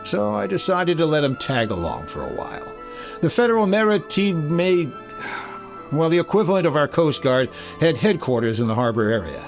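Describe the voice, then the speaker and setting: Guy as a wry radio detective, fifties style, lively highish voice, music behind. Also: creaky. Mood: wry narration. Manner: brisk, wry, questioning. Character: wry, suspicious male American insurance investigator. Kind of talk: 1950s radio detective acting, first-person narration.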